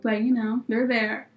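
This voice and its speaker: A woman's voice: a little high, a bit bright. A young American woman chatting casually and informally as a podcast co-host, with exclamations and a giggly way of talking. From born in Israel, raised in Philadelphia, US. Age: young adult. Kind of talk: casual unscripted chat.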